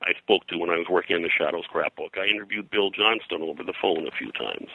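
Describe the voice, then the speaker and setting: An older man reminiscing as an interview guest, rambling and halting, often repeating words as he goes. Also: low-pitched, creaky, bright, forceful tone, breathy edge, no room sound, poor audio. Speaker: interview guest, pop culture historian and pulp publisher.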